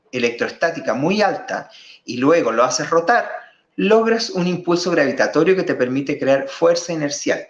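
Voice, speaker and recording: A man of middling pitch walks viewers through slides on a live stream. He explains in a halting way and keeps circling back over his own words. His voice comes through a muffled, lo-fi signal in a room with echo. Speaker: guest presenter. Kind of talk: live online talk presenting slides.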